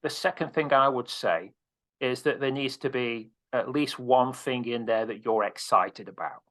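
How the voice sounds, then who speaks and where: A man's voice: medium pitch, a little slow, fairly bright tone. A man coaching on presentations, answering interview questions in a direct, casual way with casual swearing.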